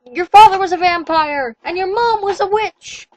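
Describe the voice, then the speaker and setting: A woman voicing an unhinged, ranting male werewolf king who yells his lines slowly and emphatically, with a dry, close sound. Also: extremely high pitch, no echo, voice pushed up, rough recording. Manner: ranting, yelling, slow emphatic.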